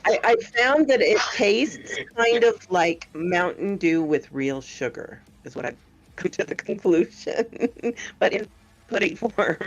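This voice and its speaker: A woman chats slowly and modestly on a podcast, often breaking into laughter. Her voice has a gravelly fry and swings widely in pitch. The stream audio is muffled, with faint music underneath. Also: lively intonation. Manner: laughs often, hesitant, self-deprecating. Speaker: podcast guest, online story narrator. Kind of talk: casual chat.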